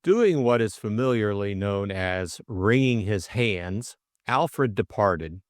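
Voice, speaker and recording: A man's voice: lowish voice, expressive, takes it slowly, no echo, studio quality. A man narrating a classic mystery novel as a scripted audiobook reading.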